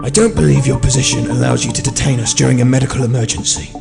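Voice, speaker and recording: A man's voice: mid-range voice, steady tone, fast. A man playing an officious starship lieutenant commander in an audio drama. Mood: smug, officious. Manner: crisp, officious, smug. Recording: voice under loud music. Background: loud music.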